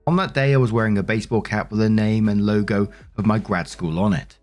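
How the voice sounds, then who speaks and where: A man's voice: on the low side, fairly quick, darkish tone, faint music behind. A man hosting and narrating online stories, mixing scripted reading with casual spoken commentary.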